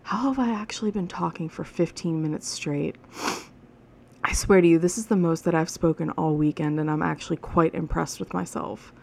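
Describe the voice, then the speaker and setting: A woman's voice: low-pitched, normal speed, creaky, darkish tone. A woman in her thirties hosting a casual solo podcast, rambling and chatty, frank and swearing now and then. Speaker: podcast host. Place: alone at home.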